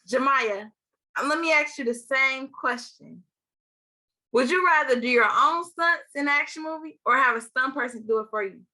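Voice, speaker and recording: A teenage girl from Milwaukee giving an energetic, scripted youth-leader talk. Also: sits high, moderate pace, low-quality recording. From Milwaukee, Wisconsin. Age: teens. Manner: energetic, upbeat.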